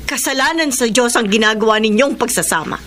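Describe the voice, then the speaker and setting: A woman's voice: on the high side, fairly quick, a bit bright, close to an everyday voice. An actress in a radio drama playing a stern religious mother who lectures sternly about sin. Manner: stern, moralizing. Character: stern religious mother confronting her daughter's partner. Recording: radio drama with clear noise.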